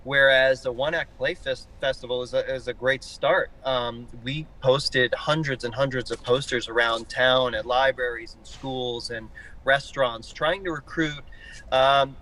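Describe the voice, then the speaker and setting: A man's voice: mid-range voice, steady tone, a little slow, pushed and bright, rough recording. A man giving enthusiastic, explanatory answers as a guest in a live interview. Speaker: interview guest, theater artistic director.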